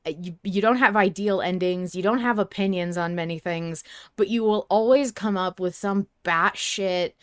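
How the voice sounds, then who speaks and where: A woman's voice: medium pitch, moderate pace, smooth. A woman hosting a podcast in casual banter, teasing with wry sarcasm. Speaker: podcast host.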